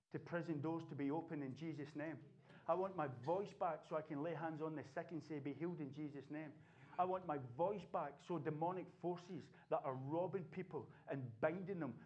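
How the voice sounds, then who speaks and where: A man preaching a sermon, building from quiet earnestness to loud, fervent exhortation. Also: on the high side, highs cut off. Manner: impassioned preaching, rising to shouts. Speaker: pastor. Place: church.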